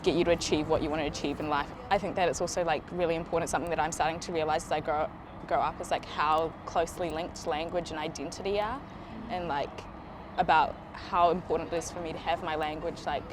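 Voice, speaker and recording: A woman's voice: low-pitched, steady tone, fast, background noise. An eighteen-year-old woman from Zimbabwe, a native Shona speaker, talking thoughtfully in a casual interview with many fillers.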